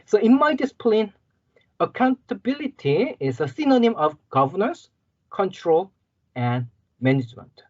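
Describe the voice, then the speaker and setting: A Korean-accented male lecturer explains ideas patiently, repeating key words and checking in with "okay." His pitch swings widely and his voice is slightly husky. The audio is dry and muffled, as from a laptop on a video call. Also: big pitch swings, moderate pace, no room sound. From South Korea. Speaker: university lecturer. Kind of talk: guest lecture.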